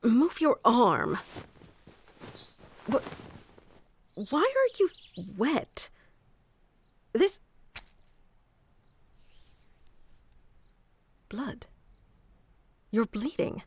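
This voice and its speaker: A woman's voice: sits high, huge pitch swings, very slow, pauses often, slightly rough, heavily acted. A woman playing a haughty, easily flustered young female elf guarding her forest in a scripted fantasy roleplay, with prickly, old-fashioned wording. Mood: alarmed, worried. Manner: prickly tsundere, archaic wording, stammers when flustered.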